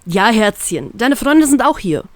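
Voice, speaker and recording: A woman's voice: fairly high pitch, big pitch swings, a little slow, no echo. A young male pirate, done by a female storyteller who sounds affectionate and upset. He pleads with a slightly raised voice. The sound is dry and close. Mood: relieved. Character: young male fire-wielding pirate, warm and protective.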